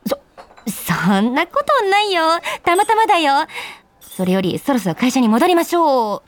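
Woman voicing female office worker in her twenties, bubbly, high voice, swooping intonation, self-deprecating, radio drama. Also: big pitch swings, normal speed. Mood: casual, evasive. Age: 20s. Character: cheerful 24-year-old female office worker, secretly psychic. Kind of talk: radio drama acting and first-person narration.

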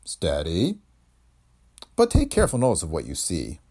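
A man voicing a courtly, sinister bearded man who gives formal, clipped orders, in a husky voice. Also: low voice, huge pitch swings, moderate pace, a little mellow, pitched below the performer's own voice, heavily acted.

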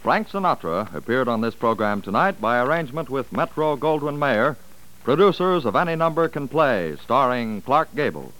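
A man's voice: mid-range voice, very animated, moderate pace, fairly bright tone, low-quality recording. A man announcing and reading adverts in an emphatic 1940s radio salesman style. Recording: old radio broadcast with music behind.

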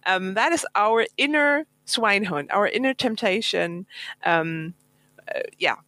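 A woman from Germany speaking English as a podcast guest, slow and hesitant with many ums and frequent giggles. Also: medium pitch, expressive, pushed and bright, dry recording. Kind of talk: casual podcast chat.

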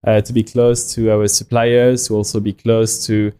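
Low, mellow young Frenchman chatting. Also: flat delivery, moderate pace, clear, resonant tone. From France. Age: young adult. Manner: informal, frequent self-quoting in anecdotes. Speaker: podcast guest, watch company founder. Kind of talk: casual conversational storytelling.

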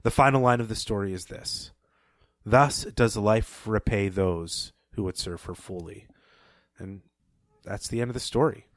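A man with a low voice recaps a story for his podcast co-host and listeners. He retells the plot plainly, with quick asides. The sound is dry and close to the mic. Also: no echo.

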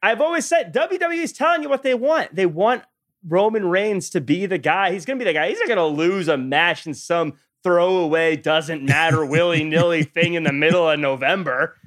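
A man's voice: high-pitched, normal speed, a bit bright. A man on a casual wrestling podcast panel, giving opinions in an emphatic, wry way. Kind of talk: casual opinionated sports debate.

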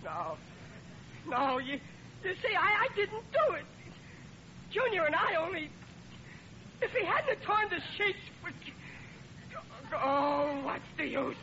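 Muffled old radio sound with clear noise carries a hapless American working-class dad. His high voice blusters and pleads, excitable and plaintive, in 1940s comedy style. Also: slow pace, long pauses, exaggerated voice. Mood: frantic despair.

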